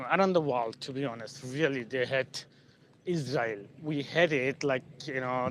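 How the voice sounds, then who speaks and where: A Bangladeshi-accented man speaks at a middling pitch, halting and full of fillers as he walks outside. His voice comes through a phone mic with faint traffic hum behind. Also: fairly bright tone. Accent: Bangladeshi English. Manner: halting, many fillers and restarts. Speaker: channel host, English learner. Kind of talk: casual live-stream chat. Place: outdoors on a street in the evening, walking.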